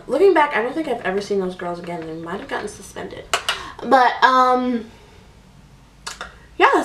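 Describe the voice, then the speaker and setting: A woman in her twenties chats away about her life while doing her makeup, her talk full of "like" and "um". Her voice sits a little high. It is picked up from a few feet off in an echoey room. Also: a little hiss or hum. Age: 20s. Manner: chatty, rambling, many "like"s and fillers. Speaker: beauty vlogger. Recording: echoey room, voice a bit distant.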